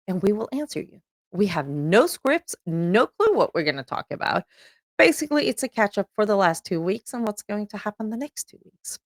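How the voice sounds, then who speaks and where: A woman's voice: fairly low pitch, gravelly, dry recording, clean studio sound. A middle-aged woman from Sweden with a Swedish accent, hosting a podcast and chatting upbeat with frequent ums. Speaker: podcast host. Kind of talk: solo promotional announcement.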